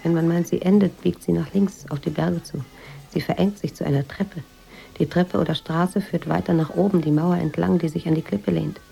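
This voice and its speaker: An actress playing a reflective woman writer, narrating her memories in a measured, hushed, introspective way in a literary radio drama. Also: lowish voice, steady tone, gravelly, faint music behind. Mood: reflective, calm. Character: reflective American woman writer in 1950s Tangier, recalling.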